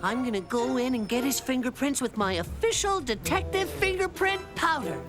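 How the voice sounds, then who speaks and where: A woman voicing an earnest, self-important little boy who plays detective in a cartoon, talking in a pompous, deliberate manner. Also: sits high, expressive. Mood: confident, scheming. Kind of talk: animated children's cartoon dialogue. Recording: loud music under the voice.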